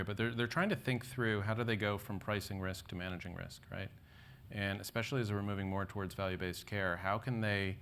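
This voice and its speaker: Deep-voiced man answering on a panel. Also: a bit bright, slight background noise. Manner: measured, explanatory, tags with "right?". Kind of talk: answering an audience question on a panel.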